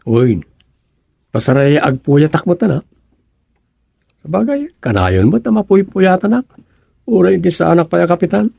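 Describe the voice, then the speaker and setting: A man acting a sleepy, good-humoured village captain in an Ilocano radio comedy drama, bantering and musing with a husky, creaky voice. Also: sits high, big pitch swings, normal speed, pauses often, warm tone, no echo, studio quality, heavily acted. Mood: defensive banter.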